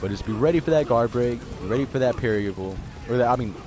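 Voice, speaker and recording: Hoarse, raspy-voiced man streaming a game. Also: medium pitch, quick pace. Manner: loose, profane, rambling. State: sore, hoarse throat. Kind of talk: casual live-stream commentary while playing. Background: game music.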